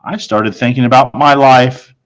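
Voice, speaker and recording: A man narrating a children's novel in the first person as a schoolboy, in a measured storytelling manner. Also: mid-range voice, steady tone, smooth. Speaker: storybook reader.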